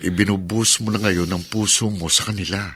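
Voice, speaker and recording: A man's voice: low voice, steady tone, a little fast, fairly bright tone, a little hiss or hum. An actor playing a supportive, affectionate husband and father in a Filipino radio drama, earnest and encouraging. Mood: reassuring, earnest.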